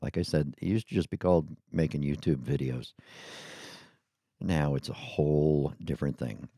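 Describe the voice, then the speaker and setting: A man's voice: a bass voice, normal speed, mellow, no room sound. A middle-aged man hosting his podcast, chatting casually and drifting off onto tangents in a small home studio. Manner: rambling, chatty, goes off on tangents. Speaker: podcast host. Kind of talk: casual rambling monologue. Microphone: studio microphone.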